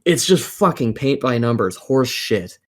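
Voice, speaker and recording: A man's voice: medium pitch, big pitch swings, measured pace, slight background noise. A man co-hosting a casual podcast, ranting in a profane, exasperated and emphatic way. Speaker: podcast co-host. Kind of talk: casual opinionated rant about games and films.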